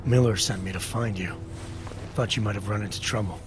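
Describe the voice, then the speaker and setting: A man acting a stoic video game agent, augmented and sparing with words. His voice is fairly low, deadpan and clipped, with music clearly playing underneath. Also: fairly quick, close to an everyday voice. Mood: terse, businesslike. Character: stoic, terse male augmented task force agent.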